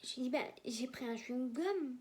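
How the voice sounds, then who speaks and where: Young woman, fairly high voice, rambling casual vlog story. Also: moderate pace, a little mellow. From German-speaking Belgium. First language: German. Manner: rambling, repeats herself, hesitant. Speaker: vlogger.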